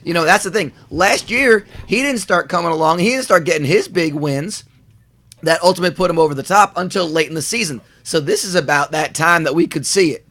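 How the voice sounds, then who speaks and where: A man's voice: a little high, a little fast, sharp tone, dry recording. A man doing comedic live race commentary, rambling with many fillers and restarts.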